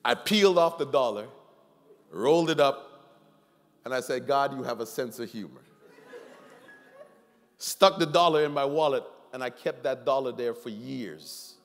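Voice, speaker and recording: Animated preacher in a reverberant church. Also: male voice, mid-range voice, measured pace, long pauses. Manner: animated storytelling, swings from loud pleading to quiet. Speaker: pastor. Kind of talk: sermon. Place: large church sanctuary, preaching from the stage. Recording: long hall reverb with faint music.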